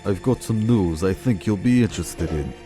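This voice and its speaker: An actor playing a cold, mocking torturer in a radio drama, taunting and full of menace. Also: male voice, low-pitched, normal speed, over music, lightly acted. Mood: sly menace. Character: sadistic male torturer villain, cold and mocking.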